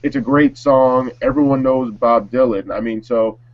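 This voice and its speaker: A man's voice: mid-range voice, fairly even intonation, moderate pace, dry recording. A man chatting informally and slangily as a panelist on a music talk show. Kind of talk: casual chat. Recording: dry, slightly muffled audio.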